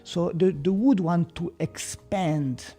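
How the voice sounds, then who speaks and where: A man with a Swiss German accent, explaining ideas on a podcast in a slow, reflective way and sometimes searching for English words. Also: sits high, dark tone, breathy edge, soft background music. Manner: slow, thoughtful, gets stuck on English words. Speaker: podcast guest, martial arts teacher.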